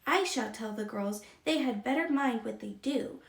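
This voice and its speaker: A woman's voice: fairly high pitch, normal speed, creaky, reverberant. A female reader playing an earnest, curious young girl in a storybook, reading her lines with care. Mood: thoughtful.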